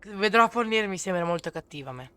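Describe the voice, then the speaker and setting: A game streamer chats casually while playing. The voice is mid-pitched with a bright, sharp edge. Faint game music plays behind the commentary. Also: expressive, racing.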